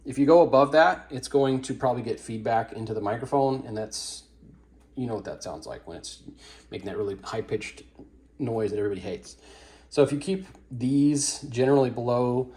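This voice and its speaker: A man giving a casual how-to demonstration on audio setup for dance classes, relaxed and rambling with blunt opinions. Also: mid-range voice. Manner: relaxed, rambling, many fillers and restarts. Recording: echoey room.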